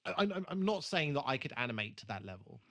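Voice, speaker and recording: A man's voice: mid-range voice, sing-song, quick pace, a bit bright. A man co-hosting a podcast, chatting casually and critiquing bluntly with plenty of swearing. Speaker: podcast co-host. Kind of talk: casual chat, TV episode review.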